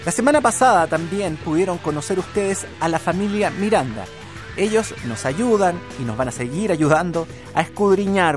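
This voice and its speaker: A man with a Chilean accent hosting a radio programme with a scripted introduction. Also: high voice. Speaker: radio host.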